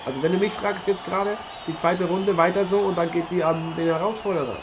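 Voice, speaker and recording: A man playing a forty-five-year-old veteran boxer who co-commentates a fight in a radio play with excitable, punchy exclamations. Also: high-pitched, a little fast. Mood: excited. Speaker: sports co-commentator. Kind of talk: live boxing commentary in an amateur radio play. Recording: noisy arena crowd behind the voice.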